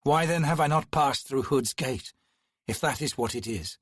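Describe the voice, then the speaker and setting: A man voicing a dazed, weakened young captain who speaks in a rasping, strained way. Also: medium pitch, moderate pace, a bit bright, no echo, clean studio sound. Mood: bewildered. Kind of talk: audiobook character reading.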